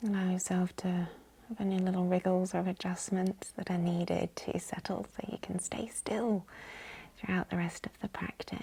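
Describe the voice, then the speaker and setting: Female meditation guide, very breathy and near-whispered, slightly low, unhurried and soothing delivery. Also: low-quality recording. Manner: calm, gentle, unhurried. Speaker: yoga nidra guide. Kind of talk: guided meditation, slow hushed instruction.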